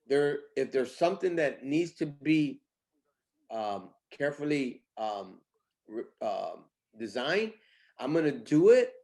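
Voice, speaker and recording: A man speaking as a company boss in a casual interview, pitching with emphatic, repetitive phrasing. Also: a little mellow. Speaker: company CEO, interview guest.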